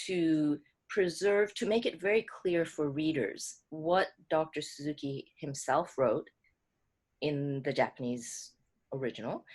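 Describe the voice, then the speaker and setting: Low-voiced woman talking thoughtfully. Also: darkish tone. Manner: thoughtful, halting, many restarts and fillers. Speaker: co-translator speaking as a guest. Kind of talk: online book club talk.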